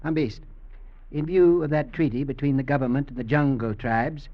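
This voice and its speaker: An actor playing a coldly scheming, domineering prison villain in old radio drama, silky and condescending. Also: male voice, mid-range voice, moderate pace, lightly acted. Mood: sly. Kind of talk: 1940s-50s radio acting. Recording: muffled old radio broadcast.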